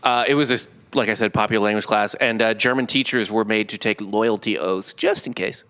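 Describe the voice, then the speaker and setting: Bright-voiced man explaining history casually. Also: on the low side, brisk, smooth. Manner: explanatory, joking, informal with swearing. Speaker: podcast host. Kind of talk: casual comedic history chat.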